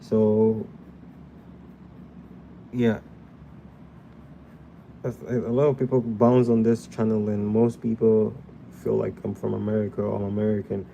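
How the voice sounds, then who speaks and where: Slow, mellow-voiced man from Ghana, chatting. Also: on the low side, gravelly, highs cut off, a little hiss or hum. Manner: relaxed, chatty, answers live chat while sketching. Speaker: drawing livestream host. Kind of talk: live drawing tutorial chatting with viewers. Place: indoors at a drawing desk.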